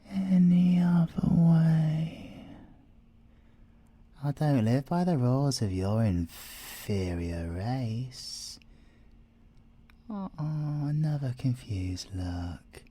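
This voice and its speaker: British man acting a slow, smug, flirtatious siren. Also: a little high, dark tone, breathy edge, dry recording, exaggerated voice. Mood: smug, possessive, then arrogant. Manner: teasing, smug, theatrical, sometimes whispering. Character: flirtatious, arrogant yandere male siren, a captive thief. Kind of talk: ASMR audio roleplay acting.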